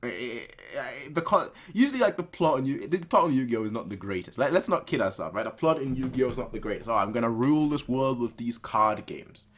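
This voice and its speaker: A man hosting a reaction video, commenting casually and excitedly, often repeating phrases for emphasis. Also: medium pitch, a little fast, hardly any pauses.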